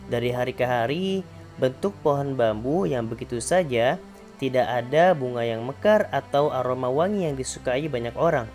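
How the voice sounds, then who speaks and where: Male teacher, calm, clear, storybook narration, mid-pitched voice, faint music behind. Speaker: primary school teacher. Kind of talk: reading a fable aloud for a remote class.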